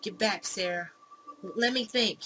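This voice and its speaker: A woman's voice: mid-range voice, moderate pace, sharp tone, lightly acted. Woman doing a frightened confused man, hesitant, overwhelmed, visual novel story, noticeable noise. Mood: torn, panicked. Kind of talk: visual novel read-aloud acting. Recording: clearly noisy background.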